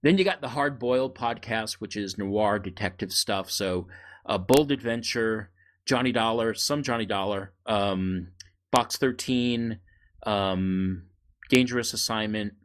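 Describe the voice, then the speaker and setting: A man co-hosting a casual podcast, chatting in a halting, joking way. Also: lowish voice. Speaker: podcast co-host. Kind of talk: casual conversational podcast chat.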